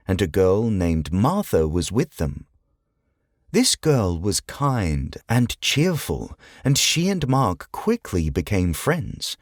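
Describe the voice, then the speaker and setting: A man reading a scripted children's audiobook as narrator, in a measured, expressive storytelling way. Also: sits low, no room sound, clean studio sound.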